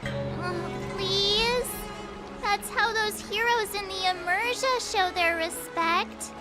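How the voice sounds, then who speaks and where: A woman voicing an excitable, earnest teenage girl apprentice in an anime-style game, with music behind her. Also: very high-pitched, slow pace. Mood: eager, pleading. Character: bubbly, eager teenage girl archer turned sword apprentice.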